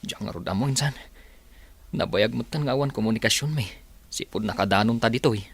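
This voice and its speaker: A man's voice: fairly low pitch, fairly quick, gravelly, breathy edge. A man playing an earnest, dejected young village labourer in a radio drama.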